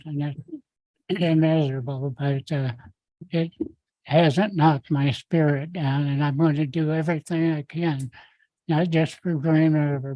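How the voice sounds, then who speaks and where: An older man speaking at a council meeting's public comment, halting and rambling with many fillers. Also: a little high, no echo, dull audio.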